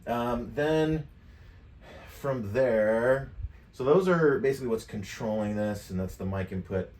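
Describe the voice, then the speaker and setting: A man's voice: medium pitch, measured pace, darkish tone. A middle-aged American man giving a casual tutorial demonstration. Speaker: tutorial presenter. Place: furnished game room.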